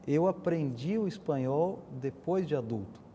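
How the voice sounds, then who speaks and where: A man's voice: on the high side, animated, normal speed, mellow, slight background noise. A middle-aged or older man with a Brazilian accent, telling stories conversationally as a panel guest. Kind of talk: conversational storytelling in a discussion.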